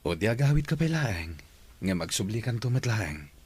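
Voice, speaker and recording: Casting: sincere Filipino employer in love, very deep, husky, radio drama. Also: male voice, sing-song, normal speed, a little mellow. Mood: persuasive. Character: earnest widowed father and boss, gently courting his employee. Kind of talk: Ilocano radio drama acting.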